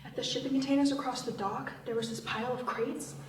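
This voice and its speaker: High-voiced, hot-tempered woman thief acted out by a woman. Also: fairly even intonation, fast, lightly acted. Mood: urgent. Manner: sarcastic, swearing. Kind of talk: table read of a screenplay. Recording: roomy, distant mic, background noise.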